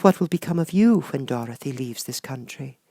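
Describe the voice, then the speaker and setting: A man voicing a kindly young good witch in a storybook, gentle, gracious and measured. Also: mid-range voice, animated, dry recording, pitched above the performer's own voice.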